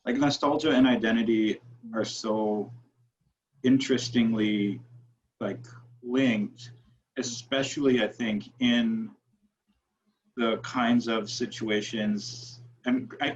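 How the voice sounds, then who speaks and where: Man, American accent, low-pitched, academic panelist, searching for words, muffled recording. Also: steady tone, a little hiss or hum. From Wisconsin, United States. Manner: halting, searching for words, frequent checking "right". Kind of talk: thoughtful panel discussion. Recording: dull, muffled audio.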